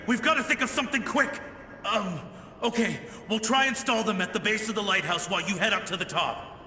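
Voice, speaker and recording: A man's voice: sits high, brisk, pushed and bright, a little hiss or hum. A man voicing the urgent, bossy ghost of a lighthouse keeper in a video game, exclaiming with long reverb. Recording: long echoing reverb, faint music.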